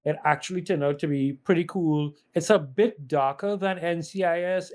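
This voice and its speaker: A man on a podcast panel talking casually through a review list, explaining as he goes with opinionated asides. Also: fairly high pitch.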